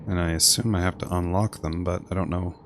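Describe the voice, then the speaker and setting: A man's voice: low-pitched, fairly even intonation, a little fast, background noise. A man doing casual gameplay commentary as a streamer, dry and blunt, thinking aloud and reading menus. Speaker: game streamer.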